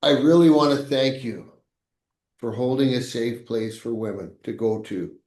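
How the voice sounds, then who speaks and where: A man of middle pitch co-hosts the podcast, talking slowly and haltingly while he looks for the right words. His voice carries a noticeable roomy echo. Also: darkish tone. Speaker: podcast co-host. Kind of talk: casual conversation.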